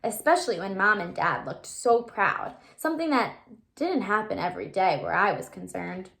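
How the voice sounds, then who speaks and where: A woman reading a children's novel aloud like a teacher, with animated storytelling and asides to explain or wonder. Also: medium pitch, normal speed, creaky. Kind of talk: expressive children's book read-aloud.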